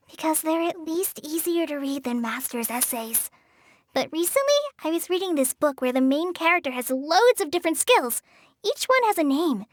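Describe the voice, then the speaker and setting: A woman's voice: high voice, dry recording, clean studio sound. A woman voicing a shy young female forest ranger apprentice in a game, talking hesitantly with sighs and apologies. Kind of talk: game character voice lines. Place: studio booth.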